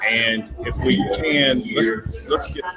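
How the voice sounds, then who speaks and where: A man's voice: mid-range voice, fairly even intonation, slow, loud music behind the voice, rough recording. A man hosting a live election night broadcast, loose and off the cuff as he checks his audio. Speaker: host.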